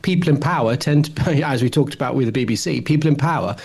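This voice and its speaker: A man's voice: mid-range voice, fast talker, vocal fry, darkish tone, husky. Podcast host: man, around fifty, casual, opinionated, teasing. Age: late 40s or about 50. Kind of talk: casual discussion of television.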